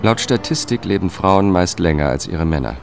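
A man's voice: sits low, expressive, moderate pace, gravelly, over music. An actor playing a wary, courteous government investigator who narrates his own story in a radio drama, matter-of-fact and polite. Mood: matter-of-fact narration. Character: wary male government lawyer turned amateur investigator, courteous. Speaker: first-person narrator and lead.

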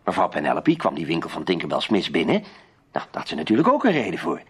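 Radio play male lead: deepish husky voice with wide expressive swings, deliberate, protective, authoritative sleuth. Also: full character voice. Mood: composed, decisive. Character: shrewd, composed male sleuth and protective companion.

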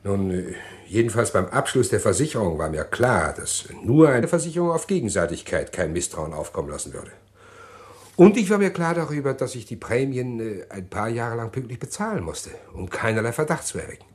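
An actor playing an evasive, well-spoken gentleman criminal in a German radio crime play, speaking in a formal, hesitant, self-justifying manner. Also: mid-range voice, very animated, brisk, lightly acted. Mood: troubled, confessing.

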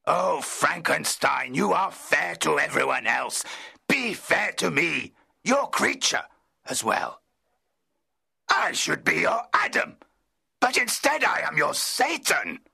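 A man voicing a miserable, lonely monster made by a man, slow and bitter as he pleads and threatens in an audiobook. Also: a little high, lively intonation, sharp tone, exaggerated voice. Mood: pleading bitterly. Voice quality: gravelly, slow and brooding. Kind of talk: audiobook character voice.

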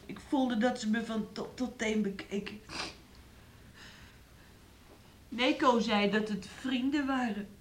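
A woman's voice: on the high side, normal speed, close to an everyday voice. An actress in a radio play, playing a frail, sick, submissive woman with a hesitant, childlike plaintive manner. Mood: wounded, submissive. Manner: hesitant, plaintive, childlike third-person speech.

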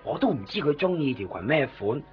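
An actor playing a fussy, excitable toy shop owner in a radio drama, chatty and stammering, with a Hong Kong Cantonese accent. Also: male voice, mid-range voice, brisk, lightly acted. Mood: sorrowful. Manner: animated, chatty, hesitant stammering. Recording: muffled 1990s radio, music behind.

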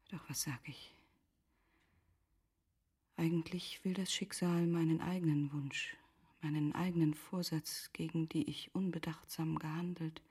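Grieving noblewoman for radio drama: low-set, very husky, resigned, self-reproaching, measured literary diction. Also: warm tone. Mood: bitter, fatalistic. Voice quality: very husky, breathy, half-whispered.

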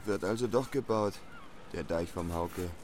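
A suspicious village gossip in a radio play. He mutters drily in a lowish register. Outdoor noise and geese are clearly heard around him. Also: a little slow, a little mellow. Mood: wary. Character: gossiping, wary male villager. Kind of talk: German radio play acting.

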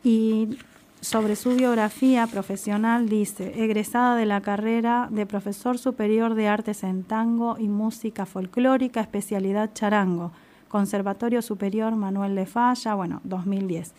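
A woman who hosts a community radio show, reading an introduction and interviewing a guest in an unhurried, affirming way. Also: medium pitch, fairly even intonation, darkish tone.

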